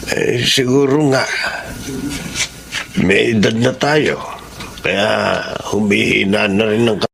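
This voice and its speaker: A man playing a kindly, patient aging grandfather with a gravelly voice and Filipino accent in a radio drama. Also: lowish voice, takes it slowly, fairly bright tone, a little hiss or hum, exaggerated voice. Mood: weary. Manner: mild, reassuring.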